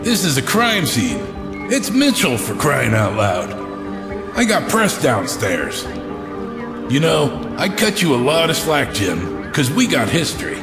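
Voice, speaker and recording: A man playing a gruff, indignant police commissioner in an audio drama, blunt, exasperated and scornful. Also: mid-range voice, wildly swooping pitch, unhurried. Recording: echoey hall reverb, music behind.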